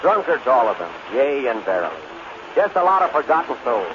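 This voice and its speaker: A man's voice: mid-range voice, very animated, natural voice. Man putting on a sanctimonious preacher, noisy recording. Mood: sanctimonious, mournful. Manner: slow, lamenting, theatrical. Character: pious, mournful, sanctimonious Bowery mission preacher. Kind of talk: 1930s radio comedy burlesque acting. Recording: noisy, dull old radio recording.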